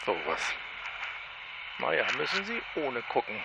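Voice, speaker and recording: A man's voice: mid-range voice, huge pitch swings, a little slow, creaky, very noisy, exaggerated voice. An actor playing a chatty, bumbling male time agent who has gone on holiday, talking jovially to himself. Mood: leisurely. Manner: chatty, self-pitying, jovial.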